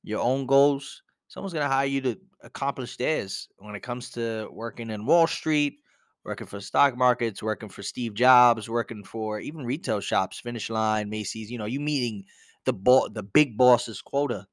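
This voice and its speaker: A man's voice: mid-range voice, moderate pace, no room sound, clean studio sound. A young man hosting a casual solo podcast, telling a story in a rambling, conversational way with lots of "you know". He sounds a bit congested from a cold. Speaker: podcast host.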